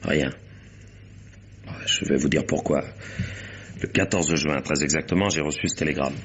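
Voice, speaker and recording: Gruff, very deep-voiced imperious boss. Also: male voice, normal speed, noisy background. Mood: explaining. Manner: brusque, domineering, blunt. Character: gruff, imperious businessman, a wronged husband. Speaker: actor in a radio mystery. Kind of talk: French detective radio drama acting.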